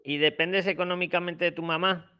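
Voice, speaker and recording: A man's voice: high-pitched, fairly even intonation, a little fast, crowd noise. A man with an accent from Spain hosting an informal live advice discussion, colloquial, often interjecting and directing who speaks. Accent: Spanish from Spain. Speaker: host of a live advice call-in. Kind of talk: informal unscripted group discussion.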